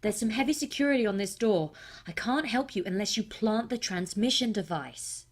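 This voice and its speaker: A woman playing a brisk, focused tech operative who guides an agent in a video game. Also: mid-range voice, expressive, lightly acted. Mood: focused, urgent. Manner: brisk, businesslike.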